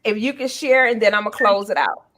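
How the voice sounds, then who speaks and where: A woman's voice: mid-range voice, brisk, sharp tone. A woman hosting a casual panel talk, affirming her guests and addressing them affectionately. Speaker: talk show host.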